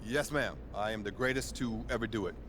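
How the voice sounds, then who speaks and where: A man's voice: lowish voice, fairly quick, close to an everyday voice. A man playing a confident, easygoing champion basketball star, answering reporters in a casual, colloquial way. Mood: proud, relaxed. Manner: relaxed, colloquial, chuckling, self-assured. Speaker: pro athlete at a press conference. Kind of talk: casual press-conference answers. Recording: noisy outdoor crowd, street ambience.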